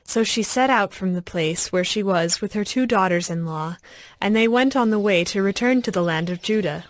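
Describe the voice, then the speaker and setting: A woman narrating scripture as a scripted audio story, with measured, clear phrasing. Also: medium pitch, no room sound. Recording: background music under the voice.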